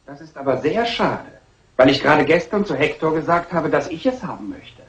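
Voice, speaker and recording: A suave schemer with a fairly high voice and very lively intonation. He insinuates and needles with a short temper, as in a dubbed crime film. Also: fairly quick. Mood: dismissive. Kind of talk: German film dub acting.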